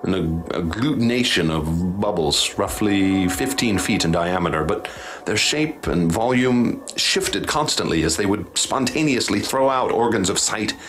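A haunted professor in a radio drama, his voice low and grave. He speaks formally and with ominous weight, measuring out each phrase. Clear noise and ambience sit behind him. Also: expressive, measured pace, a bit bright, rough recording. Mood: grave, measured. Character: grave haunted male professor recounting horrors he witnessed.

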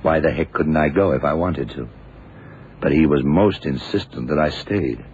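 An actor playing a shrewd, laconic detective in an old radio drama, deliberate and probing in manner. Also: male voice, very deep voice, a little mellow. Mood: pondering. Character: shrewd, laconic male detective puzzling out a murder. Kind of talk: 1960s-70s radio drama acting. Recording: muffled, noisy old radio recording.

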